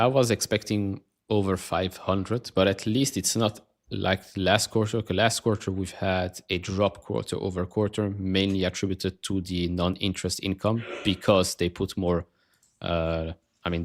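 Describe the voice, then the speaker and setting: A man on a panel giving casual analytical commentary in a measured, explanatory way. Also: low-pitched.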